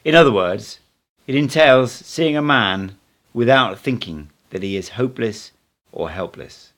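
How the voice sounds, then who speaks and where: A man's voice: medium pitch, expressive, a little slow, a bit bright. A man reading the part of an assured male teacher, speaking in a didactic, emphatic way in an audiobook. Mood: instructive. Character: Don Juan, assured male teacher, instructing and correcting.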